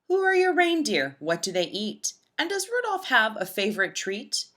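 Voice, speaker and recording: A woman's voice: a little high, wildly swooping pitch, moderate pace, a bit bright. A woman voicing an earnest, inquisitive child detective in a storybook, asking eager, probing questions.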